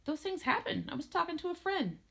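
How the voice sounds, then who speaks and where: A woman's voice: very high-pitched, big pitch swings, fairly quick, creaky, pushed and bright, voice pushed up, heavily acted. A woman voicing a flippant teenage boy who talks back to his father in a storybook. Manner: cheeky, offhand.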